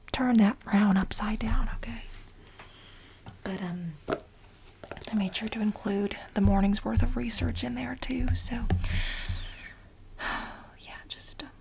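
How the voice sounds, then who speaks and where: A woman in a soft-spoken ASMR role play as a fawning female lab assistant, mostly whispering in a breathy, halting, coaxing way. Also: medium pitch, noisy background. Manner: halting, coaxing delivery with repeated phrases.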